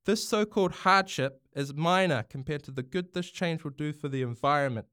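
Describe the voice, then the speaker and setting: A man's voice: fairly high pitch, moderate pace, fairly bright tone, clear, resonant tone, dry recording, studio quality, pitched above the performer's own voice, close to an everyday voice. A man voicing a woman prime minister giving a rousing speech in a storybook reading. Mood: passionate.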